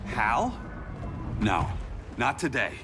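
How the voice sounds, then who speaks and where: An actor playing a weary, guilt-ridden superhero in a video game, wry and earnest. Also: male voice, medium pitch, wildly swooping pitch, drawn-out words, full character voice. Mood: weary, distrustful. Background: loud dramatic music.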